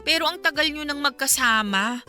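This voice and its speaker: A woman's voice: sits high, brisk, sharp tone, soft background music. A woman acting a supportive young friend in a Filipino radio drama, consoling and advising conversationally with a Filipino accent.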